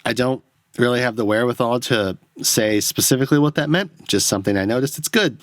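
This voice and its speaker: A man's voice: medium pitch, brisk, no echo. A man hosting a solo film podcast, rambling and self-deprecating, swearing as he analyses a movie into a close microphone. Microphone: close studio microphone.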